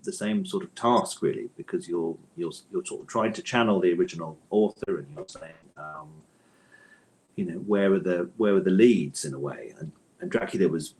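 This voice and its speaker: Low-voiced man, thoughtful and hesitant interviewee. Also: darkish tone, low-quality recording. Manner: thoughtful, hesitant, hedging and circling back to rephrase. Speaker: author being interviewed. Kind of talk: conversational interview answers.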